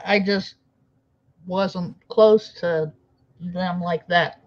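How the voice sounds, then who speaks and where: A woman's voice: lowish voice, steady tone, drawn-out words. Woman stream host, casual and laid-back, on a dull lo-fi mic. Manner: laid-back, laughs often. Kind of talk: casual chat.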